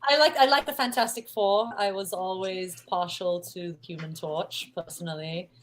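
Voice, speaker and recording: A woman's voice: lowish voice, fairly even intonation, low-quality recording. Chatty Singaporean-accented woman guest. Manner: chatty and playful, laughs often, many "um" pauses. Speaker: musical guest. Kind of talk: casual interview chat.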